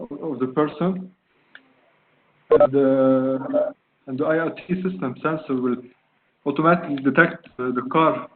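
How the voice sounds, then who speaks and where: Halting man from Lebanon on a call. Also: medium pitch. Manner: halting, searching for words, many fillers. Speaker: student presenting a project. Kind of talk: online video call presentation. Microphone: video call. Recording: tinny phone-like call audio, faint noise.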